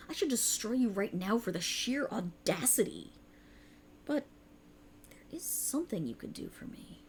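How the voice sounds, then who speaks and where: Woman playing a haughty, creaky-voiced dark goddess. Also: mid-range voice, slight background noise. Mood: contemptuous, then teasing, coaxing. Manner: condescending, taunting, theatrical, with breathy chuckles. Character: cruel, haughty otherworldly warlock patron, a seductive dark deity. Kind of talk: ASMR roleplay, scripted dramatic monologue.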